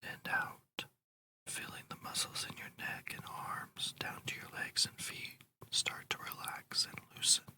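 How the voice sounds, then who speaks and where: Whispered ASMR meditation guide. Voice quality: breathy whisper. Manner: slow, soothing, deliberate delivery.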